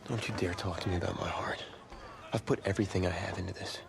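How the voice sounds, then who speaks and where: A man's voice: deep voice, steady tone, quick pace, creaky, noisy background. A man playing a proud, stubborn young star quarterback in a dramatic video game cutscene, defensive and intense. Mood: defensive, pleading. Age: young adult.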